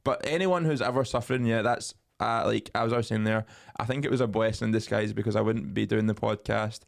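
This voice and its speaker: A man's voice: lowish voice, quick pace, gravelly. A young man co-hosting a podcast, telling stories in casual chat with plenty of swearing and jokes. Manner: swearing, joking, animated storytelling. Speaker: podcast co-host.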